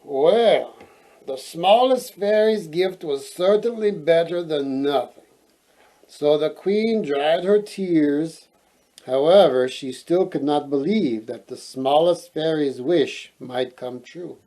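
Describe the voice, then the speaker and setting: A man reading a storybook aloud as host, expressive and playful, often wandering into casual chatty asides. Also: a little high, slow, low-quality recording.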